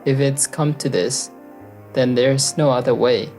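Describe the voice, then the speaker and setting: A woman's voice: steady tone, a little slow, warm tone. A woman playing an earnest, loyal young office worker and narrator in a manga dub, speaking in a conversational way. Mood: reflective narrating. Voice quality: low-pitched voice. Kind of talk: anime-style manga dub with first-person narration. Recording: background music under the voice. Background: music.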